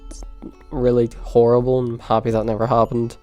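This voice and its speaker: Young Northern Irish man, mellow and dry, casual storytelling, faint music bed. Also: completely flat delivery, fairly quick, highs cut off. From Poland. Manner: dry, self-deprecating, low-key delivery. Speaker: vlogger.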